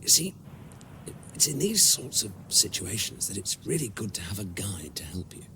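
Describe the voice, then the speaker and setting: An actor playing a brisk, sarcastic alien space traveller in a British comic radio drama. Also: male voice, fairly low pitch, heavily acted. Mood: wry. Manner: excitable, sarcastic. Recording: noisy sound-effect backdrop.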